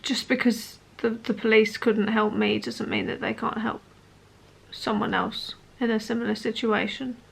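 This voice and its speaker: A woman in her late twenties talking personally to camera as a vlogger, in an earnest, hesitant, halting way. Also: on the high side, flat delivery, vocal fry, breathy edge. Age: late 20s.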